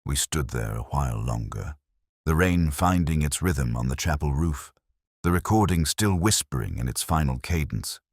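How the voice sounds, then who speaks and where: A man narrating a first-person detective story in a solemn, measured, literary manner. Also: extremely low pitch, animated, breathy edge, no room sound, clean studio sound.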